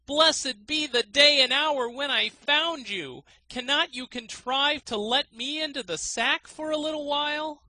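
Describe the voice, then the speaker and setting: A man's voice: extremely high pitch, measured pace, hardly any pauses, sharp tone, dry recording, pitched above the performer's own voice, full character voice. A man voicing a merry, gullible young male student in a storybook, exclaiming and pleading eagerly. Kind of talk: storybook character reading.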